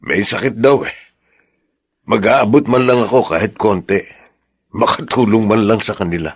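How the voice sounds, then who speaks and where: A man's voice: fairly low pitch, moderate pace, slightly rough. A man playing a humble, kindly, easily flustered farmhand in a Filipino radio drama, talking in an earnest, conversational way.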